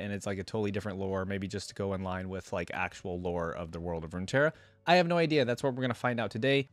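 A man's voice: medium pitch, dry recording. A young man hosting a reaction video, switching between casual commentary and reading aloud at a desk microphone. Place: indoor room at a desk. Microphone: desk microphone close up, with headset on.

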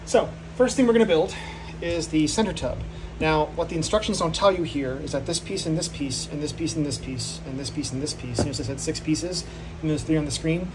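A man's voice: on the high side, brisk, noisy background. A man filming a casual, offhand walkthrough of his home workshop, joking at his own expense. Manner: offhand and self-deprecating, with fillers. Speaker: channel host.

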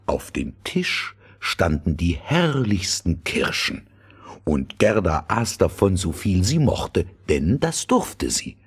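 Deep, very husky male storyteller. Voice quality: husky, breathy. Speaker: narrator. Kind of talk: scripted fairy-tale narration.

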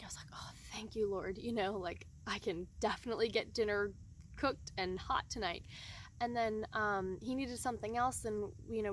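A woman's voice: medium pitch, slight background noise. A young woman telling a personal story casually and expressively, acting out the dialogue as she goes. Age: young adult. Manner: chatty, expressive, acts out quoted dialogue. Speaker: vlogger telling a hiking testimony. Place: outdoors in a pine forest.

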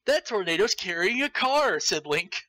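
A man with a very bright, forceful, fairly high voice reads a story aloud in a theatrical, mocking way. He drops in his own comic commentary, and the sound is close and dry. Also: sing-song, measured pace, dry recording. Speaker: host reading fan fiction aloud. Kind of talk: comedic dramatic read-aloud with commentary.

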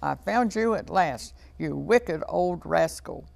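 A woman voicing a gruff, triumphant male woodsman in a storybook, with declamatory delivery. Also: low voice, lively intonation, takes it slowly, a little mellow, exaggerated voice.

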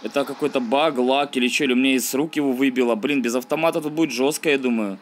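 A man's voice: mid-range voice, fairly even intonation, fast, a little hiss or hum. A man giving casual, slangy let's-play commentary, thinking aloud and grumbling as he plays. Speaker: gaming video host.

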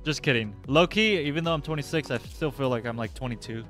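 A man in his twenties chatting casually with his livestream chat while playing, laid-back and quick to laugh. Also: mid-range voice. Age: 20s. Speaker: livestream gamer. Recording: game music loud behind. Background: game music.

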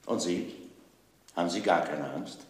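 An actor playing a composed police commissioner who questions people in a conversational way in a German crime radio play. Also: male voice, sits low, a little slow. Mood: businesslike. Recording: noisy old radio play recording.

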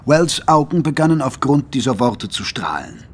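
A man's voice: medium pitch, sing-song, moderate pace, husky. A man narrating a Victorian mystery radio play as a literary storyteller, in scripted dramatic style. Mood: measured.

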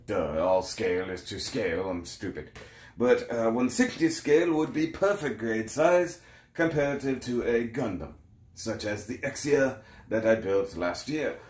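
Guy in his thirties, mid-pitched, slightly sore throat, rambling unboxing chat, echoey kitchen. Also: darkish tone. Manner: rambling, self-correcting, chatty. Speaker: toy and model kit reviewer. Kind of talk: casual unboxing vlog. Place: home kitchen, indoors. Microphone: camera in front at close range.

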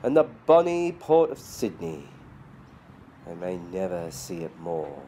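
A man in his forties reciting poetry in a measured, deliberate way, recorded on a phone outdoors. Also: low voice, big pitch swings, warm tone. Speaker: vlogger reading poetry. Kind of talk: slow poetry reading. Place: outdoors around a city harbour. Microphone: phone at arm's length. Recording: outdoor phone video, clear ambient street noise.

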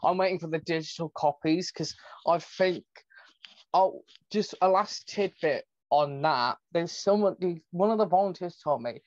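A man's voice: fairly high pitch, no room sound. Opgewonden jonge man, doffe droge opname. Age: 20s. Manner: excited, rapid fan chatter. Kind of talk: casual podcast chat. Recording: dull, dry lo-fi sound.

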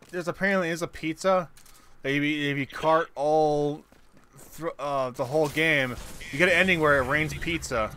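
A man chatting casually while playing a co-op game, halting and often trailing off mid-thought. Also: fairly high pitch, bright, forceful tone. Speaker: co-op gaming video player. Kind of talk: casual gaming banter.

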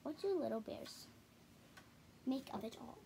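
A young girl of about ten to twelve reading a children's picture book aloud haltingly, with stumbles, repeats and casual asides. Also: on the high side, gravelly, warm tone, slight background noise. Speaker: storybook reader. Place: small living room at home, indoors.

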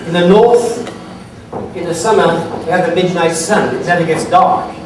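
Brief: middle-aged man, Welsh accent with Swedish tinge, slightly high voice, halting lecture delivery with dry asides, captured from far off in a very echoey hall. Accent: Welsh with Swedish influence. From Wales. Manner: halting, many uh fillers, dry humor. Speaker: forensic science lecturer. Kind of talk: conference lecture. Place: conference hall.

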